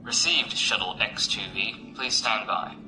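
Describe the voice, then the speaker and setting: An actor playing a stern, officious imperial navy officer with a clipped, authoritative manner in a science fiction audio drama. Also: male voice, on the low side, measured pace, close to an everyday voice, poor audio. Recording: music behind the voice.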